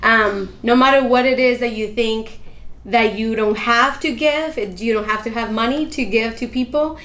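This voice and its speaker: A woman's voice: fairly high pitch, normal speed, fairly bright tone. A woman from Colombia, a guest in an interview, speaking in an earnest, motivational way. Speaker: guest, founder of a nonprofit. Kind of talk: interview answers. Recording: echoey room.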